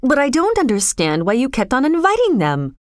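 A woman's voice: medium pitch, expressive, normal speed, darkish tone, no room sound, clean studio sound, natural voice. A woman storyteller voicing an earnest young man, a shocked suitor who speaks with incredulous indignation. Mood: angry.

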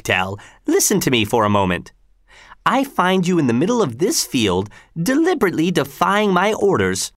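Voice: mid-range voice, fairly bright tone, no room sound, studio quality, voice pushed up. A narrator voicing a cruel male tyrant governor in an audiobook, mocking, commanding and falsely reasonable.

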